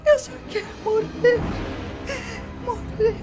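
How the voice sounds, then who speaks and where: A woman's voice: very high-pitched, fairly even intonation. An actress playing a dying, grief-stricken poor mother in a Brazilian radio drama, feeble, sobbing and pleading in broken phrases. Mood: sobbing anguish. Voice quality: feeble, weak voice. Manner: slow, broken, pleading delivery. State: sobbing, crying. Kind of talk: melodramatic radio mystery drama. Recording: old AM radio tape, music underneath.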